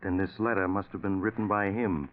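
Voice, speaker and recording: A solemn, concerned confidant voiced by a man in a vintage radio mystery. He has a lowish male voice and speaks with earnest, deliberate weight, as one who takes omens seriously. The recording is thin and phone-like, as from a 1940s broadcast. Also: steady tone, slight background noise, lightly acted. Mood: urgent, foreboding. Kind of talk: 1940s radio suspense drama acting.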